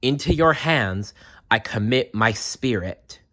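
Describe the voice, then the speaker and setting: A man voicing a solemn, gentle and resolute holy figure in a measured, earnest storybook reading. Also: medium pitch, lively intonation. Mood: pleading. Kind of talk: storybook character voice.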